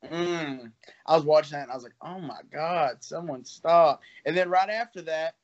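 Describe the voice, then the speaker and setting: A man's voice: fairly high pitch, moderate pace, highs cut off. A man in his twenties, a fighter, talking casually in an interview in a loose, rambling way with some laughs. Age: 20s.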